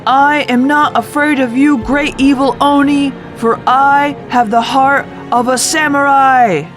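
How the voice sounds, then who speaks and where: Woman voicing a high-pitched brave tiny samurai. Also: steady tone, unhurried, music in the background. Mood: defiant, proud. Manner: bold, declamatory, shouting challenges. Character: tiny one-inch young male samurai, brave, defiant.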